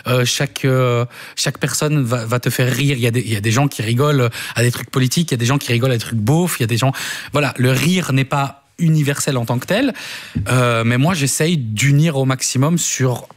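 A man, a stand-up comedian chatting as a radio guest. He talks in an animated, storytelling way and laughs easily, with a mid-pitched voice. It sounds like a studio setting. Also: fairly quick. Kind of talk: casual radio interview.

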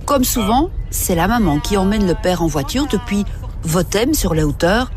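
A woman reporter narrating a documentary in a clear, measured way. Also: medium pitch, animated, over music.

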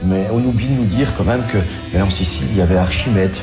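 A middle-aged man with a Belgian French accent and a fairly low voice speaks casually, as if reminiscing in an interview. His phrasing is colloquial, and music plays clearly behind him. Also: quick pace. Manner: colloquial, uses conversational tags. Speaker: interviewee recounting family memories.

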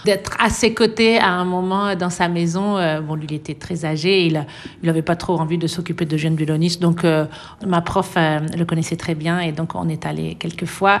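Middle-aged woman, interviewee, lowish voice, reflective, pauses often. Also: fairly even intonation. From France. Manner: thoughtful, hesitant with many pauses, light laughs. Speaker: interviewee, violinist. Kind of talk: relaxed radio interview.

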